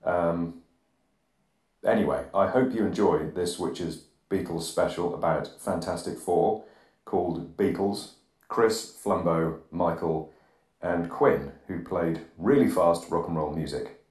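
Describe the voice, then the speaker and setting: A man hosting an absurdist comedy podcast, rambling deadpan monologue recorded on a phone. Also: sits low, slow. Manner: deadpan, rambling, drops articles. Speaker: podcast host. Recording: phone recording with reverb plugin, bathroom echo.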